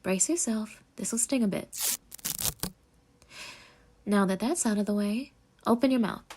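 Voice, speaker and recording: A woman playing a sweetly possessive, obsessed girlfriend in an intimate roleplay audio, coaxing and dramatic with breathy asides. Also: medium pitch, a little slow, dark tone, slight background noise, close to an everyday voice. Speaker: ASMR voice actor.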